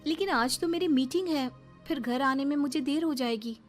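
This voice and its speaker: A woman's voice: high voice, normal speed, pitched above the performer's own voice, natural voice. A woman playing a sensible young working wife in a moral story, polite but earnest and questioning. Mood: conciliatory. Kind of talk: Hindi moral story dramatization. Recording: music behind the voice.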